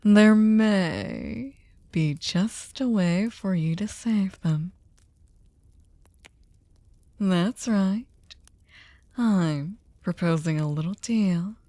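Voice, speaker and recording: A woman performs a possessive, manipulative female vampire for an ASMR roleplay. Her voice is mellow and fairly low, unhurried and close, with a dry sound. She teases with silky courtesy and often sinks into a whisper. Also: no echo. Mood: coaxing, seductive. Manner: slow, teasing, mock-polite, often dropping to a whisper. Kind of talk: ASMR roleplay audio drama.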